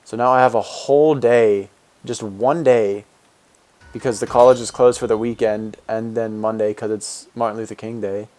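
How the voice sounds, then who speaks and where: A man in his twenties with a deep voice, a game streamer talking casually with viewers while answering chat and rambling with fillers and restarts. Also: fairly even intonation, moderate pace, a little mellow. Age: 20s.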